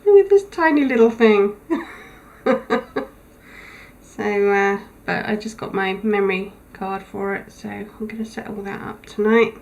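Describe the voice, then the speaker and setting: Tired, slow-spoken woman. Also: medium pitch, gravelly, darkish tone, slight background noise. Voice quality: thin, weak voice. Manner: halting, with many pauses and fillers. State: tired, low on energy. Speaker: vlogger. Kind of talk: casual video diary. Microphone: camera mic.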